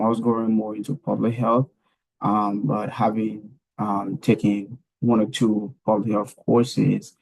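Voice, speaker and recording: A man talks through his career path on a panel, stopping often to restart his sentences. His voice sits low and has a gravelly edge. He speaks with very little rise and fall. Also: a little mellow, poor audio. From Liberia. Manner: halting, many fillers and restarts.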